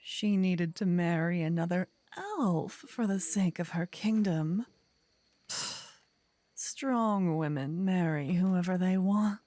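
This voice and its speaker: A woman's voice: lowish voice, warm tone, no echo. A woman voicing a possessive, teasing demon queen in a fantasy ASMR audio roleplay, theatrical and gloating.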